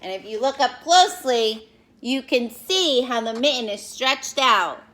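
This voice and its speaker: A woman teacher doing an expressive read-aloud for young children, speaking to them directly and explaining as she goes. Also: a little high, unhurried, rough recording. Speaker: elementary school teacher reading aloud to pupils.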